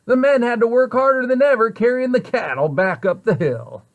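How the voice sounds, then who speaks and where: A man reading a children's picture book aloud with expressive, deliberate storyteller phrasing. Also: extremely high pitch.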